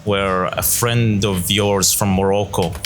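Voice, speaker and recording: A man hosting an interview, asking calm questions and giving short acknowledgments. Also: sits low, slow pace, background noise. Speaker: interview host.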